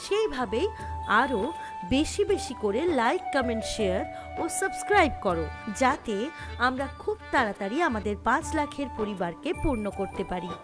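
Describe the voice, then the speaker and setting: A woman's voice: a little high, big pitch swings, normal speed, music in the background. A woman presenter making a scripted appeal to viewers. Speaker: channel presenter.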